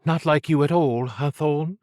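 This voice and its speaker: A man's voice: a little high, unhurried, darkish tone, no room sound, studio quality, voice pushed up, close to an everyday voice. A man voicing a guarded woman agent who speaks in a curt, sardonic, needling way.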